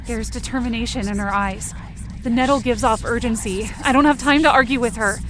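A woman with a fairly high voice performs a scripted audio drama in the first person. Her narration is intense and suspenseful, as a frightened adventurer in a dark supernatural tale. Music plays clearly behind her. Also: a little fast. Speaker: fiction podcast narrator and performer. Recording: music bed under the voice. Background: dramatic background music.

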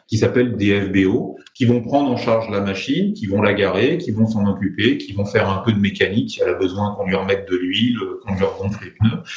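A man's voice: fairly low pitch, darkish tone, highs cut off. Hesitant French-accented man, roomy sound. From France. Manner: hesitant, many fillers and restarts. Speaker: private pilot guest. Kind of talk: conversational storytelling.

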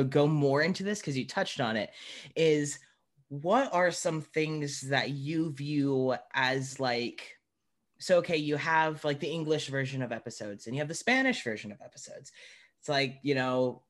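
A man's voice: on the high side, a bit bright. A man hosting a podcast interview, chatting informally and with enthusiasm. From United States. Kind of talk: casual chat.

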